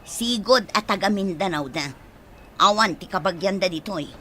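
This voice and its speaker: A woman's voice: mid-range voice, normal speed, a little hiss or hum, natural voice. A woman playing a harsh, stingy aunt who scolds and bullies, with an Ilocano accent in a radio drama. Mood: defensive. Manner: scolding, sharp.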